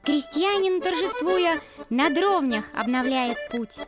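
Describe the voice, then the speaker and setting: A woman's voice: high-pitched, animated, slow. A woman voicing Petya, a naive first-grade boy who narrates and recites verse in a childlike, sing-song manner. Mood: reciting. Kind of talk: storybook narration and poem recital. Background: loud children's music.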